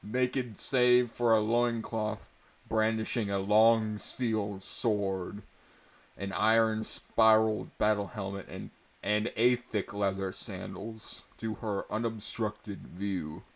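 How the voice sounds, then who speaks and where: A man narrating pulp fantasy as a mock-serious dramatic reading, deliberate and theatrical, stepping out for amused asides. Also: on the low side, little pitch movement, gravelly.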